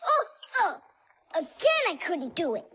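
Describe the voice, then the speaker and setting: A woman voicing a stubborn, excitable little boy creature in a cartoon, eager and boastful. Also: very high-pitched, measured pace, very noisy, full character voice. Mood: excited. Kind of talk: animated cartoon voice acting.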